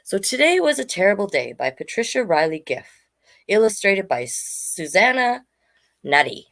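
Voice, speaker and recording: A woman's voice: mid-range voice, big pitch swings, moderate pace, a bit bright. A woman hosting a storytime, reading aloud to children and chatting to listeners with questions and asides. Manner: conversational, addresses listeners with questions.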